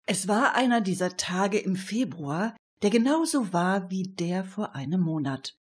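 A woman's voice: mid-range voice, animated, measured pace. A woman narrating a scripted radio crime story.

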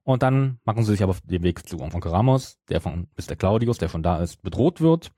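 Low, lively, mellow man podcasting. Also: fairly quick, no echo, clean studio sound. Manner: hesitant, self-correcting, many broken-off restarts. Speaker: podcast co-host. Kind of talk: casual podcast talk, retelling a story.

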